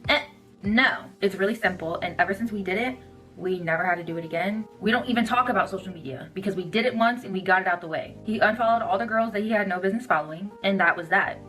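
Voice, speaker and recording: A woman's voice: medium pitch, quick pace, a bit bright, faint music behind, poor audio. A young woman talking straight to viewers in a blunt, assertive, conversational social media monologue.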